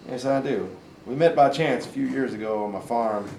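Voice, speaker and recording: A man reading the part of a gruff but fair farmer turned Confederate sergeant, plainspoken and folksy, in an amateur stage play. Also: mid-range voice, normal speed, a little mellow, close to an everyday voice. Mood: firm, fair. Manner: folksy, plainspoken, hesitant phrasing. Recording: roomy, distant, faint background noise.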